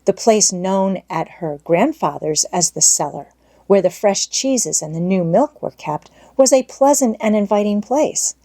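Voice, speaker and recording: A woman's voice: mid-range voice, lively intonation, measured pace, dark tone. A woman narrating an audiobook, reading a children's story expressively from a script.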